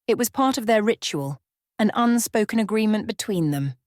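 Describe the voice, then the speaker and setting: A woman's voice: medium pitch, animated, normal speed, darkish tone, no room sound, clean studio sound. A woman reading a scripted bedtime story as a storyteller in an ASMR style.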